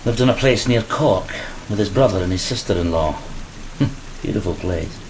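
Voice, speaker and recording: Casting: seasoned deckhand, fairly deep, reflective and plain, gothic radio-style play under heavy rain. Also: male voice, moderate pace, noisy background. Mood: wistful, fond. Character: wistful, weathered male sailor, survivor of a flogging. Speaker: actor in an audio drama. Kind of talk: gothic horror audio play acting. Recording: steady rain behind the voice.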